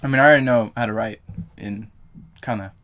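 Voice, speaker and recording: A young man with a fairly low voice talks straight to his camera in a loose, rambling vlog. He trips over his own words, corrects himself and fills gaps with ums and likes. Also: fairly quick, fairly bright tone. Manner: rambling, self-correcting, lots of fillers. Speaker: vlogger.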